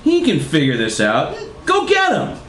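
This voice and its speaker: A man's voice: a little high, sing-song, normal speed, a bit bright, voice pushed up. A man voicing an angry, sulky, foul-mouthed teenage girl in a bratty, exclamatory way for a storybook reading. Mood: bossy. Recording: roomy echo, faint noise.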